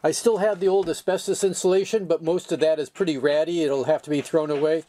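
Older male DIY boat mechanic, slightly higher voice, casual how-to delivery, matter-of-fact and plain-spoken. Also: steady tone, fast talker, warm tone, slight background noise. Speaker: sailor demonstrating boat engine repair.

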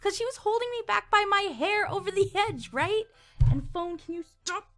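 Very high, excitable woman's voice. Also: normal speed, faint music behind. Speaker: amateur voice actor in a fan audio drama. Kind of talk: outtakes of line readings with casual asides.

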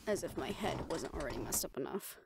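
A woman's voice: deep voice, lively intonation, a little fast, gravelly, background noise. A woman performing an audio roleplay, talking in casual, profane, wry banter.

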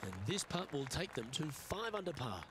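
A man's voice: on the high side, sing-song, moderate pace. Male golf commentator over crowd noise. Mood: measured commentary. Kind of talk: sports play-by-play commentary in a video game.

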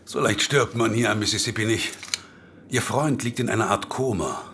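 A man's voice: on the low side, normal speed, fairly bright tone, slight background noise. An actor playing a hard-boiled, cynical male American investigator, knowing and wary, in a German audio drama. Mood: grave, explanatory. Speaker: supporting actor. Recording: faint music behind the voice.

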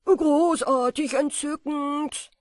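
A woman's voice: high voice, steady tone, takes it slowly, dry recording, clean studio sound, pitched above the performer's own voice. Woman voicing an ecstatic young moth. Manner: exclaiming, ecstatic. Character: young male moth, enthusiastic and free.

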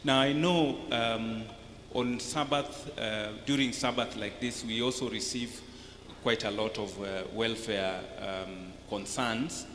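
A man with a Kenyan English accent moderating a church panel, asking polite, halting questions with many fillers. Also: on the low side, a bit bright. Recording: roomy hall, faint noise.

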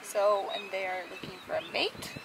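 A woman's voice: mid-range voice, steady tone, moderate pace, gravelly, a crowd in the background. A woman in her twenties chatting and teasing on a travel vlog, filmed on a camera. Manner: teasing, giggly. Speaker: travel vlogger. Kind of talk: casual travel vlog chat. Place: outdoors on an island and in a ship cabin. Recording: distant noisy camera audio.

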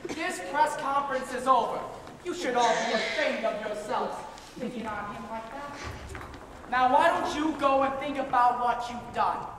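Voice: high voice, normal speed, natural voice. A performer playing a persuasive, domineering older-hand political mentor, coaxing and patronizing, in an amateur stage comedy. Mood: indignant. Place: large theater stage, distant camera. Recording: very echoey theater, faint noise.

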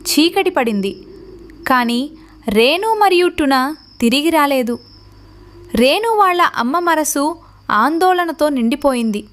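A woman's voice: high-pitched, animated, a little slow, long pauses. A woman narrator reading a scripted story for children.

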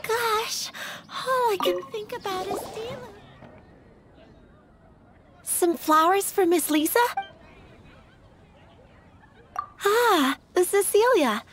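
A woman's voice: very high-pitched, lively intonation, takes it slowly, pauses often, a bit bright, soft background music, full character voice. A woman voicing an anxious, dreamy young flower seller in a video game, hesitant and wistful in manner.

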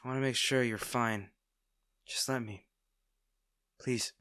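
A man's voice: mid-range voice, fairly even intonation, takes it slowly, no room sound, clean studio sound. A man playing a tender, nervous villain in an intimate audio roleplay, stammering and hesitant as he speaks to the listener. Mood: apologetic, tender. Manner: hesitant, stammering, soft-hearted. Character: smitten masked male villain, nervous, tender, teasing.

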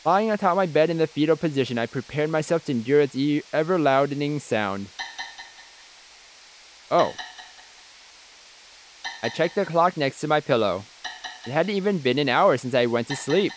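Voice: mid-range voice, expressive, normal speed, pauses often, slight background noise, poor audio. A let's play host reading visual novel narration aloud, with casual asides.

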